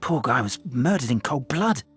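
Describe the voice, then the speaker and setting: A hesitant townswoman, gossips in a low, matter-of-fact voice. It is game dialogue with faint music behind. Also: sing-song, moderate pace. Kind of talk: video game acting.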